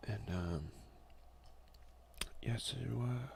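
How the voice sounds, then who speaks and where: A man speaking softly, at times in a breathy half-whisper, in a casual, hesitant ASMR let's play on a close headset mic. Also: on the low side, extremely slow, slight background noise. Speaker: gaming ASMR video creator. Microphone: close headset boom mic.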